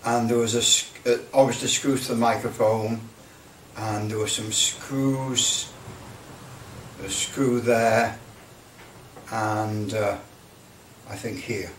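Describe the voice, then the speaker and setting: A man giving a casual hands-on demonstration, halting and thinking aloud as he repeats phrases. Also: on the low side, fairly even intonation. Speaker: presenter of a hobby project. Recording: roomy, distant mic, faint noise.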